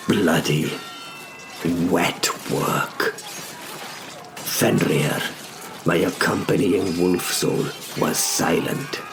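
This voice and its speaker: A male narrator delivers dramatic first-person storytelling. His voice is very deep and gravelly, and he moves very slowly through short, deliberate phrases. Music plays behind him. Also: noisy background.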